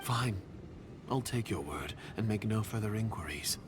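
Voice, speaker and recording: An actor playing a secretive, scheming foreign agent in a video game, speaking in a guarded, half-whispered way. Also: male voice, on the low side, animated, moderate pace, background noise, natural voice.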